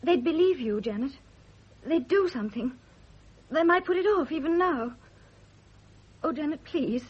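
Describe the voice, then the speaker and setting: A woman's voice: high voice, lively intonation, a little slow, long pauses. An actress playing a distraught, loyal woman who pleads earnestly in a radio drama. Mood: pleading. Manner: earnest, beseeching. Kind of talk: 1970 radio drama acting. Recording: dull, band-limited old radio recording.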